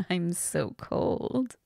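A woman voicing a cold, hungry female vampire, speaking in a slow, breathy whisper right at the microphone. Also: on the low side, expressive, warm tone, dry recording, studio quality, exaggerated voice. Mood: seductive. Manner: slow, intimate, purring murmurs. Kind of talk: whispered ASMR roleplay. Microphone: close mic.

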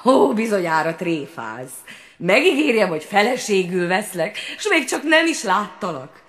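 A woman doing a young storybook prince, proud, brusque, raised voice, lively up-and-down delivery. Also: mid-range voice. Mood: indignant. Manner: louder, indignant and brusque. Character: young prince, brave but proud and impatient.

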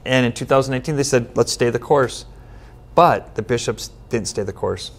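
This is a middle-aged man from Minnesota, a longtime Methodist pastor, seated at a table in a studio. He explains things steadily and earnestly, with everyday fillers and a voice of ordinary pitch. His words come through clean and close. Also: moderate pace. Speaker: United Methodist pastor, guest. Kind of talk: earnest interview answers. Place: studio set with bookshelf backdrop, seated at table.

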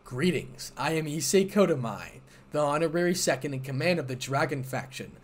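A man voicing a calm, polite young man who introduces himself formally. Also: on the high side, moderate pace.

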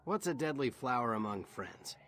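An actor playing a young rookie soldier in a video game, with a wry, casual way of talking. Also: male voice, medium pitch, expressive, normal speed, a bit bright, a little hiss or hum, lightly acted. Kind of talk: dramatic video game dialogue.

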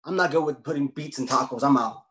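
An actor playing a blunt, streetwise young taco cook who talks in slang, in a table read. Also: male voice, mid-range voice, quick pace, a bit bright, slight background noise, lightly acted. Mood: indignant. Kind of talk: casual dramatic table read. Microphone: video call.

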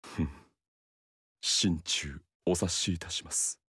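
An actor playing a loyal young male retainer in a game, formal and courteous, with quiet irony. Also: low voice, fairly even intonation, takes it slowly, slightly rough, no echo, clean studio sound, heavily acted. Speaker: game character voice. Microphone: dry studio mic.

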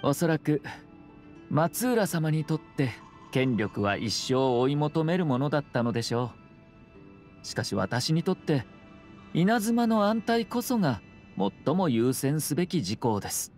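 An actor playing a polite young clan head in an anime game, speaking in a measured, formal way. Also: male voice, on the high side, big pitch swings, darkish tone. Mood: calm, assured. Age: young adult. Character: composed, courteous young noble clan head. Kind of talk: Japanese anime game voice acting. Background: music.

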